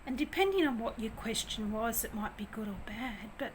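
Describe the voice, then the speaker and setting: A woman with a naturally quiet voice giving a gentle tarot reading, talking softly with pauses. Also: fairly high pitch, expressive, a little hiss or hum, poor audio. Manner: gentle, soft, slow and hesitant. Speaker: tarot reader. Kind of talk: soft-spoken tarot reading.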